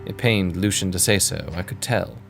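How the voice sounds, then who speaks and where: A genteel gentleman adventurer with a fairly low voice. He recounts his exploits in a period audio drama, with formal, literary phrasing and a measured delivery. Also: creaky, music in the background. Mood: measured, grave. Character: male first-person narrator, genteel adventurer recounting a kidnapping case. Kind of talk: scripted period-story narration.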